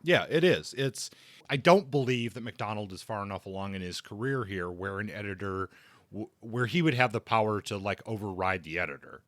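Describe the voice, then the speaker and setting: A man co-hosting a podcast, casually discussing books in a halting way, often rephrasing as he thinks aloud. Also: lowish voice, fairly bright tone, no echo.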